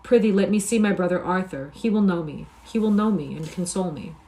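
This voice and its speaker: A woman's voice: on the low side, fairly quick, dark tone, natural voice, low-quality recording. A woman reading the part of an eager young soldier who has just come home, speaking in impassioned, old-fashioned English. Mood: stricken. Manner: impassioned, archaic speech.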